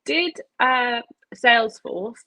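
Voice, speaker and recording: A woman's voice: fairly high pitch, slow, pushed and bright. A woman hosting a podcast, asking curious, engaged questions of her guest. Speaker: podcast host. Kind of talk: casual podcast conversation. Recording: dull, muffled lo-fi sound.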